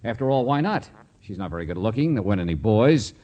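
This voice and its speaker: A man playing a tough, sharp-tongued private detective in an old American radio drama, needling and insistent. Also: fairly low pitch, very animated, quick pace, highs cut off. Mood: confrontational, sardonic. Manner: hard-boiled, needling, insistent. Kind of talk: 1940s-50s American radio drama acting. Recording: vintage radio drama recording.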